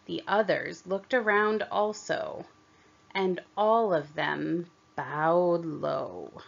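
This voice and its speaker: A woman reading a children's story aloud in deliberate, expressive narration, on a slightly dull recording. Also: fairly low pitch, vocal fry. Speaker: storybook reader.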